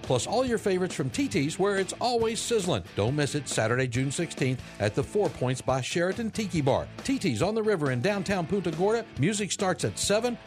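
A man announcing a local event in a scripted promotional radio ad. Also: on the high side. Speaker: commercial announcer. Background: faint music.

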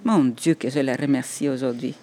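A woman's voice: very deep voice, fairly even intonation, normal speed, mellow. Woman recalling her past. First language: Spanish. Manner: reflective, with hesitations and laughs. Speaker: interview guest. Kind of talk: personal storytelling in a podcast interview.

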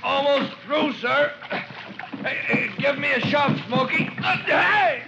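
An anxious, high-voiced rookie fireman. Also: slow, vocal fry, loud music behind the voice, heavily acted. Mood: alarmed. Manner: fretful, eager, exclaiming. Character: earnest, anxious rookie firefighter, young man. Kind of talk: 1940s radio acting. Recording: noisy muffled 1940s radio recording.

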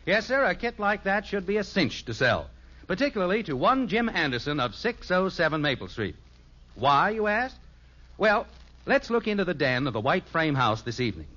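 A man's voice: high voice, sing-song, normal speed, pushed and bright. A man with an American accent narrating a 1950s radio sitcom in a genial, folksy, theatrical announcer style. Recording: muffled old radio broadcast with music.

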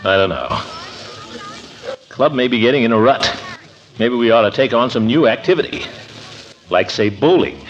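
An actor playing a sociable, blustery middle-aged bachelor in 1940s radio comedy, with a hearty, grumbling manner. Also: male voice, mid-range voice, animated, normal speed, pauses often, lightly acted. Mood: grumbling, musing. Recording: noisy, muffled 1940s radio recording.

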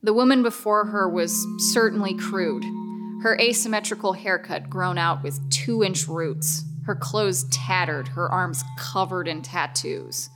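A woman reading scripted horror narration in a measured, suspenseful way. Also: on the low side, music in the background.

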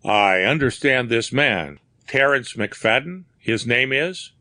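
A man doing a businesslike police officer, worried and brisk, firing short questions. The voice stays near a plain man's reading voice, bright and forward, with a dry, close sound. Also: medium pitch, expressive, dry recording.

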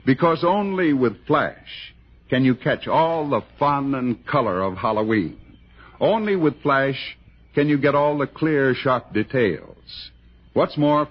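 A man's voice: mid-range voice, breathy edge. Muffled vintage American radio announcer man. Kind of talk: scripted 1960s radio announcing and narration.

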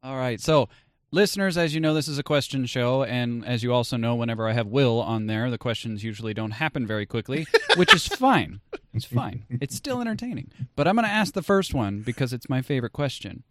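A man's voice: medium pitch, very animated, fairly quick, hardly any pauses, a bit bright, no echo, studio quality. A man hosting a casual, comedic podcast chat in a jokey, playful way. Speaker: podcast host.

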